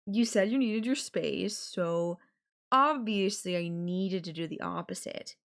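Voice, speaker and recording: A woman playing an obsessive, possessive girlfriend in an intimate roleplay audio drama, sweet but menacing, with breathy cooing. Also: lowish voice, unhurried. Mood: sweet, clingy. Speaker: voice actor.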